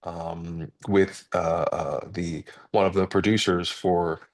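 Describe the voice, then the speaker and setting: A male actor and narrator speaking politely and haltingly on a panel, with many fillers. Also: low-pitched, steady tone, recorded from a distance. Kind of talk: panel chat.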